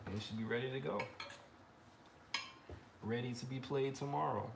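A man in his thirties hosting a casual trumpet livestream from his living room, relaxed and friendly, often repeating words as he talks. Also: medium pitch, normal speed, creaky, noisy background. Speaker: trumpet teacher and livestream host.

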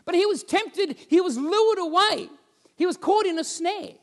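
A man, preaching a sermon on a church stage and telling stories with lively gestures while he walks about. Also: very high voice, normal speed. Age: 40s. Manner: animated storytelling, roams the stage gesturing. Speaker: pastor. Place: church stage with band instruments, indoors.

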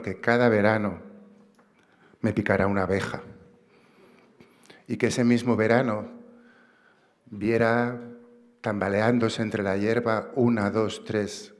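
Man, 50s, lowish voice, measured and reflective acceptance speech, long hall reverb. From Spain. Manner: reflective, measured, gestures while speaking. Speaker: award recipient. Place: large theatre stage. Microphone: podium microphone.

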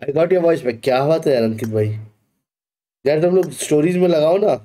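A man's voice: on the high side, animated, normal speed, dark tone. A man in his thirties with an Indian accent, chatting casually as he livestreams a game. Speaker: gaming streamer. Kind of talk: casual livestream chat while setting up a game. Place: indoor streaming setup.

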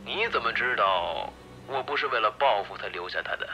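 An actor as a ruthless, unflappable male gang boss in an audio drama. The voice is strikingly bright and hard-edged, with a dismissive, sardonic delivery. There is faint noise behind it. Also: fairly low pitch, expressive, fairly quick. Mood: cold indifference.

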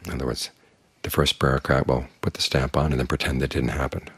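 Very deep, husky-voiced older man giving a talk. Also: fast talker, dry recording. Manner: calm, plain-spoken, uses homely images. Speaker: Buddhist monk teacher. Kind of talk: evening dharma talk.

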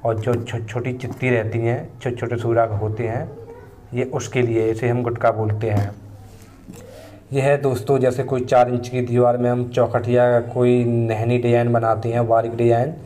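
A man's voice: medium pitch, level tone, dark tone, a little hiss or hum. A man in his thirties, a mason, casually explaining his building tools one by one while recording outdoors on a phone. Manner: plain, unhurried explaining, pointing at each tool in turn. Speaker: mason and channel host. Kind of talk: casual tool demonstration. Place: paved courtyard outdoors, tools laid on the ground.

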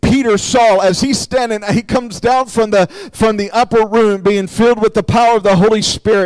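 A man delivers an evangelistic sermon in a very high-pitched voice. He speaks straight to his listeners as a preacher, exhorting them with emphatic, repeated appeals. The sound is dry, with hardly any echo. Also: steady tone, normal speed, a little mellow, clear, resonant tone. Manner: emphatic, exhortatory, repetitive direct address.

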